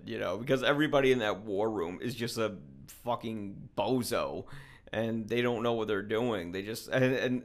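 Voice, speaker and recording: A middle-aged man with an American accent, chatting informally and bluntly as an interviewer, rambling a little. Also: on the low side. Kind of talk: casual opinionated conversation.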